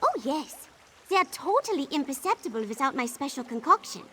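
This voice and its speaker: A woman voicing an eccentric, excitable potion brewer in a video game, theatrical and effusive. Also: high voice, a little fast, slight background noise, exaggerated voice. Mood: dreamy wonder. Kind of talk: video game character dialogue.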